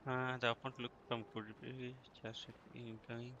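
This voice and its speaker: Guy doing casual game stream chatter, really sharp bright voice, bit of noise behind. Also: medium pitch, level tone, normal speed, highs cut off. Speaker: game livestreamer. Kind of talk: casual playthrough commentary, muttering and echoing dialogue.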